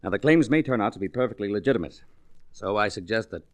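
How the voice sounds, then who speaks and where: An actor playing a well-bred, guarded insurance executive with a formal, hedging manner in a 1940s radio drama. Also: male voice, lowish voice, lively intonation, quick pace, natural voice.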